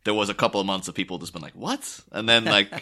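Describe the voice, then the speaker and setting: Bright, forceful Irish man chatting. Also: lowish voice, steady tone, fast. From Ireland. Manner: enunciates carefully for listeners. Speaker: podcast co-host. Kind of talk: casual chat.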